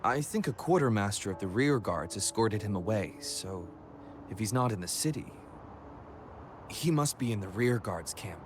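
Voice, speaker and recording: A guarded frontline soldier from a video game, voiced by a man. His voice sits a little low and sounds firm and matter-of-fact, with faint noise behind it. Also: animated, normal speed, natural voice.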